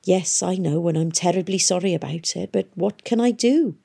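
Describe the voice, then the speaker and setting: A woman's voice: on the low side, moderate pace, gravelly, warm tone, slightly rough. Woman voicing a genial, apologetic male town boss. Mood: sorry. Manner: genial, chatty, mock-regretful.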